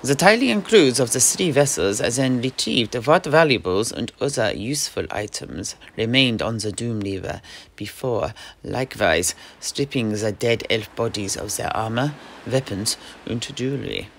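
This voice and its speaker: A man telling a tale in character for a fantasy audio play, in dramatic, measured phrases. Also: mid-range voice, animated, creaky, slight background noise. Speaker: host, storyteller.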